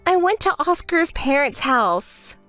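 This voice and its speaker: A woman's voice: high voice, very animated, measured pace, pushed and bright, no echo, soft background music, exaggerated voice. A woman voicing a spoiled, greedy young woman who whines, demands and shrieks in a dramatized story. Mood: indignant. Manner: whiny, shrieking, self-pitying.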